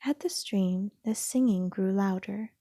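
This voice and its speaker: Slow, mellow woman reading a children's story. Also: mid-range voice, no echo, studio quality. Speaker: storybook narrator. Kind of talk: scripted children's story read-aloud.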